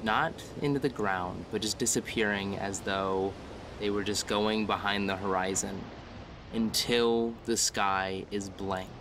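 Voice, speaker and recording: A man narrating a tabletop game as game master, descriptive, measured and dramatic. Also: lowish voice, steady tone, background noise.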